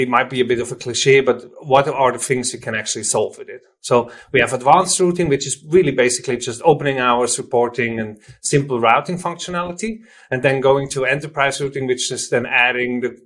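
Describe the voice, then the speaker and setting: Swiss german guy being interviewed, native german speaker, medium pitch, kinda halting, chatty, keeps rewording stuff. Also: fairly bright tone. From Switzerland. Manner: informal, halting, self-correcting explanations. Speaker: software company representative, interview guest. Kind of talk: conversational product interview.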